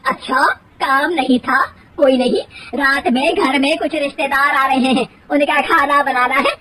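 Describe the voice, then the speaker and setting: A woman's voice: sits high, fairly even intonation, measured pace, bright, forceful tone, slight background noise, rough recording. A woman voicing a stern middle-aged mother-in-law in a story, scolding, bossy and sarcastic.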